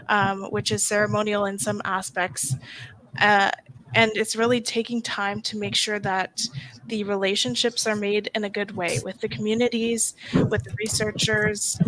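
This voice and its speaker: A woman's voice: mid-range voice, fairly bright tone, slight background noise, low-quality recording. A woman on a discussion panel, explaining in a casual way with lots of fillers.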